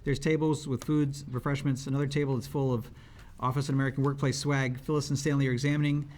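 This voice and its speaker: A man's voice: mid-range voice, little pitch movement, quick pace, darkish tone. A man reading stage directions aloud at a script table read, as scripted narration.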